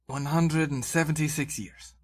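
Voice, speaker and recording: A man voicing an earnest, centuries-old male elf scholar in a tabletop game, speaking in a measured, formal, halting way. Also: fairly high pitch, fairly even intonation, darkish tone, voice pushed up, close to an everyday voice.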